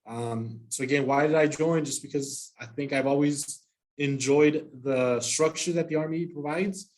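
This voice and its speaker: A man in his thirties from El Paso, Texas, whose first language is Spanish. He gives an easygoing talk to students as an Army sergeant, with frequent pauses. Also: a little high, fairly even intonation, a little mellow, poor audio. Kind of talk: presentation to students.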